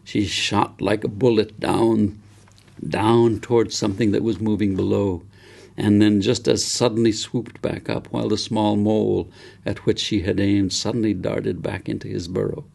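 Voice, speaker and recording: Male author-narrator for a story audiobook: low, gravelly with vocal fry, measured and gentle. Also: little pitch movement. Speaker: author reading own novel.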